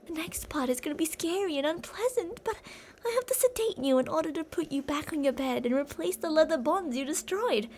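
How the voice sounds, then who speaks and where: A woman's voice: sits high, animated, brisk, darkish tone, a little hiss or hum. A woman playing an obsessive, possessive yandere captor in an ASMR roleplay, intimate and doting with endearments yet menacing. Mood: soothing, menacing. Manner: intimate, cooing endearments, drops to whispers. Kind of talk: ASMR roleplay, scripted monologue.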